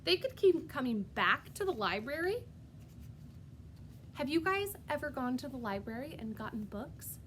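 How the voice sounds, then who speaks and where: A woman reading a storybook aloud as a preschool teacher, expressive and sing-song, pausing to put questions directly to young children. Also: sits high, a little hiss or hum.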